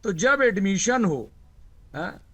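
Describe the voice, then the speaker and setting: Man, very high pitch, bright forceful tone, argumentative panelist, repetitive phrasing, heated debate. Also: sing-song, slow pace, husky. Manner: argumentative, repeats phrases to hold the floor.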